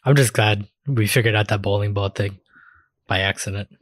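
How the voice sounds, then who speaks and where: A man's voice: fairly low pitch, steady tone, normal speed, a bit bright, no echo. Casual man in a dry, close recording. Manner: casually profane. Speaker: podcast co-host. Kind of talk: casual chat about video games.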